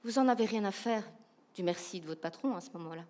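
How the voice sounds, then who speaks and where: A woman with a Belgian French accent giving a humorous, animated keynote talk, asking the audience rhetorical questions. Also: medium pitch, fast. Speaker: keynote speaker, professional coach.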